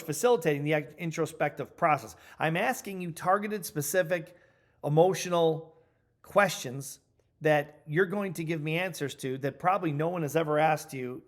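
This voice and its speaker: A middle-aged man giving an instructional training talk as a coaching trainer, speaking emphatically and deliberately and repeating key points for stress. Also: fairly high pitch.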